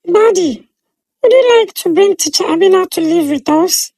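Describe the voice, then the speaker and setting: A woman's voice: extremely high pitch, lively intonation, normal speed, warm tone, dry recording. A woman voicing an innocent, earnest young daughter in a told folktale. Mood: innocent, hopeful.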